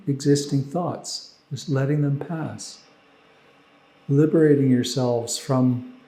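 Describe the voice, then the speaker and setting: A man guides a meditation in a calm, very unhurried way, leaving space between phrases. His mid-pitched voice is soft-edged and mellow, with a clear creaky fry. The sound is roomy and echoing. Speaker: meditation teacher. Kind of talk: guided meditation, slow and measured.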